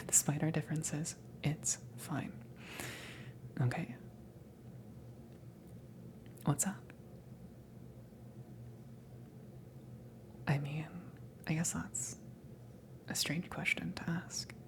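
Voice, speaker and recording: Casting: friendly alien salvage crewmember played by a woman, low-pitched breathy whisper, unhurried and shyly reassuring, ASMR roleplay with noisy ambience. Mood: patiently explaining. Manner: hesitant, rambling, giggly.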